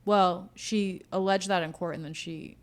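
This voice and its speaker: A woman's voice: on the low side, steady tone, a little slow. A woman co-hosting a casual podcast chat, giving blunt opinions in short, declarative phrases. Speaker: podcast co-host. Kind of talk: casual conversational political chat.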